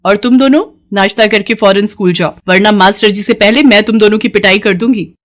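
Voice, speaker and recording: A woman voicing a pious, sharp-tongued village wife and mother in a dramatized moral story, emotional in her delivery. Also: medium pitch, a little fast, close to an everyday voice. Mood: scolding.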